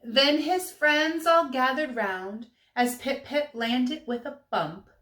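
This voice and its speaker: A woman reading a children's storybook aloud with expressive, emphatic phrasing for young listeners. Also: sits high, takes it slowly, reverberant.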